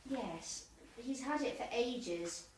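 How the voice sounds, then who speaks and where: A woman playing a polite, hesitant, gently fussy tennis club committee member in an amateur radio comedy. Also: fairly high pitch, expressive, slow pace. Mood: flustered politeness. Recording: echoey room, far from mic, faint noise.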